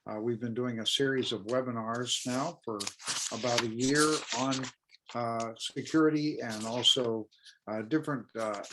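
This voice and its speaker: A man in his sixties hosting a webinar on a video call, reading formal guest introductions in a steady way with frequent fillers. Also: mid-range voice, slight background noise, rough recording. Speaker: webinar host, moderator. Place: indoor office with flags. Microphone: video call webcam.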